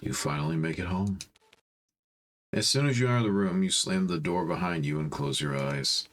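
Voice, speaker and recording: A man's voice: deep voice, normal speed, fairly bright tone. A man reading a visual novel aloud as storytime narration, breaking off into informal, slangy commentary.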